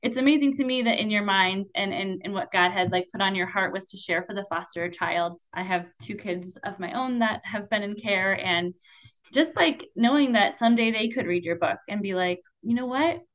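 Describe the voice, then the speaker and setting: A woman hosts the interview in an effusive, affirming manner, peppering her sentences with fillers. She is a foster mom herself. Her voice sits at a middle pitch. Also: moderate pace, a bit bright, dull audio. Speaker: podcast host and foster mom. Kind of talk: warm-hearted interview hosting.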